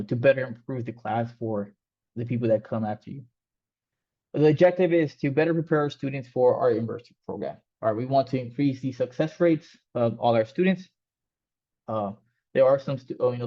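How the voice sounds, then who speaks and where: A man whose first language is Spanish, teaching an online coding class in a loose, casual way with many fillers and restarts. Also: medium pitch. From Mexico. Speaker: coding instructor. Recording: slightly dull, lo-fi sound.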